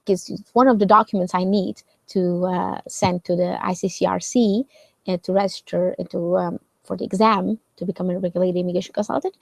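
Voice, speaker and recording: A woman from Portugal hosts a casual live question session, explaining step by step with frequent fillers and "right?" tags. Her voice sits at a middle pitch. The recording is dry and close, with no room sound. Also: gravelly, darkish tone, no room sound. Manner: conversational, explains with many fillers and tag questions. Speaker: host answering viewer questions.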